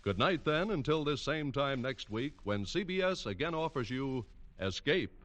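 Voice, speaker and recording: Formal 1940s radio announcer. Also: male voice, mid-range voice, expressive, moderate pace. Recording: distant old radio recording with loud music.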